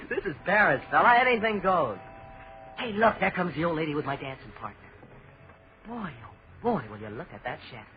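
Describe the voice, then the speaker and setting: An actor playing a cocky, eager young American tourist in old-time radio drama, slangy and wisecracking. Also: male voice, sits high, very animated, moderate pace. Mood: stubborn, uneasy. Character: brash, eager young American male tourist hunting for fun. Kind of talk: 1930s-40s radio drama acting. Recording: dull vintage radio recording, crowd behind.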